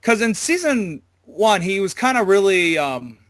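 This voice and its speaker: A man giving a casual interview as a voice actor, talking in a halting, loosely worded way. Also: high-pitched, very animated, a bit bright, no room sound, studio quality.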